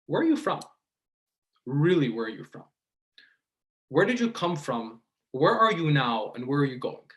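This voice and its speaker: A man's voice: fairly high pitch, very animated, fairly bright tone. American man giving a reflective religious lecture. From United States, from the Detroit and Dearborn area of Michigan. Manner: deliberate, with pauses and rhetorical questions.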